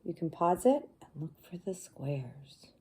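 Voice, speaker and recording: A woman with a low, soft-edged, mellow voice reads a children's book aloud at a slow pace. She teaches as she goes, explaining in simple words and putting questions to her young listeners. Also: sing-song, a little hiss or hum.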